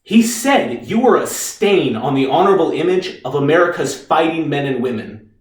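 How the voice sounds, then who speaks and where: A man playing a cool, condescending army defense lawyer in a stage drama, deliberate and probing. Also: fairly high pitch, animated, lightly acted. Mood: cold, probing. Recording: echoey room, distant microphone.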